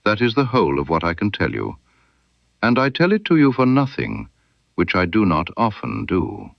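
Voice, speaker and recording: A man voicing a cool, knowing woman informant in a mystery story, speaking softly and deliberately with guarded amusement. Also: fairly low pitch, wildly swooping pitch, gravelly, dry recording, dull audio, full character voice. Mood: cold, firm. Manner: soft, deliberate, veiled warnings.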